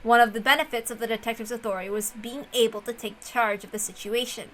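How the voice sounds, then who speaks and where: A woman reading a story aloud as narrator, in an expressive storytelling style. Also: on the high side, quick pace, a little hiss or hum. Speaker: reader of a visual novel.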